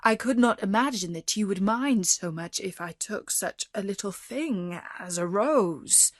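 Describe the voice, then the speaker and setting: Woman as a storybook merchant, male, humble, frightened, pleading, sorrowful, unhurried. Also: medium pitch, animated, no room sound, clean studio sound. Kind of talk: storybook character voice.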